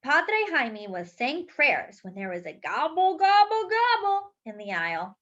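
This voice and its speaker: A woman's voice: high voice, measured pace, slight background noise. A woman reading a picture book aloud expressively, acting out the dialogue and adding chatty asides. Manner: animated, acts out the dialogue. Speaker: children's storybook reader. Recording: dull lo-fi recording.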